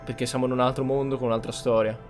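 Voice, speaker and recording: An Italian man commenting casually on a video game he plays. He talks quickly in a medium-pitched voice. Light game music runs underneath. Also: flat delivery. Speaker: gaming streamer.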